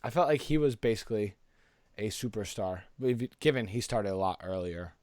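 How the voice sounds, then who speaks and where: A man's voice: lowish voice, a little fast, a little mellow, dry recording. A man co-hosting a casual pop culture podcast, talking in a slangy, rambling, enthusiastic way. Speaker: podcast co-host.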